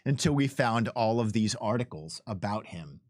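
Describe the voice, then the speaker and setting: A man, a game developer, telling stories in an emphatic, animated way in a casual unscripted livestream chat. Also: fairly low pitch, normal speed, no room sound, studio quality. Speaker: game developer giving commentary.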